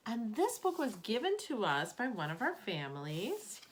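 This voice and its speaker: A woman's voice: fairly high pitch, wildly swooping pitch, normal speed, a little hiss or hum. Woman reading a children's story aloud expressively. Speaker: teacher reading a picture book to children.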